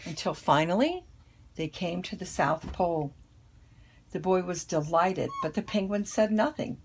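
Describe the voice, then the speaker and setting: An older woman, a grandmother with a husky, creaky voice, reading a children's picture book aloud. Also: fairly low pitch, lively intonation, unhurried, a little hiss or hum. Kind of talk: children's storybook read-aloud.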